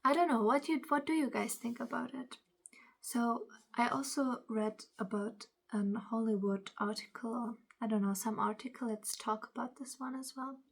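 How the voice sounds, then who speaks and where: A woman's voice: fairly high pitch, moderate pace, gravelly. A woman who is not a native English speaker, with a non-native accent, giving casual opinion commentary and reading aloud, often stumbling over words and correcting herself. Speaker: commentary video host.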